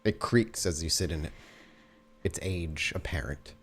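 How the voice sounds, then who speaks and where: Low-voiced man narrating as game master. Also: measured pace. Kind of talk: narrating a tabletop roleplay scene.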